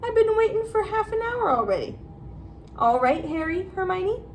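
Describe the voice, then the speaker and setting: A woman voicing a gruff, fierce but kindly male gamekeeper in a storybook. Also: very high voice, big pitch swings, normal speed, far from the mic, a little hiss or hum, voice pushed up, exaggerated voice. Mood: impatient. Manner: growling, blunt.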